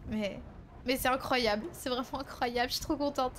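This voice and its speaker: A woman's voice: high-pitched, steady tone, normal speed, fairly bright tone, slight background noise. A woman playing a tender, eager twenty-seven-year-old daughter in improvised French game roleplay, speaking hesitantly. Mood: overwhelmed, grateful. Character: young actress daughter, 27, sincere, tender, eager.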